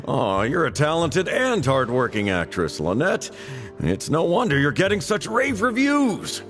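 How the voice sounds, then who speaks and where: Gushing gentleman, extremely sing-song. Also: a little high, wildly swooping pitch, slow pace, full character voice. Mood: effusive. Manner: gushing, chatty, polite. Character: effusive, flattering gentleman patron of the theatre. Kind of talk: animated game voice acting. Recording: reverberant voice with music. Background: background music.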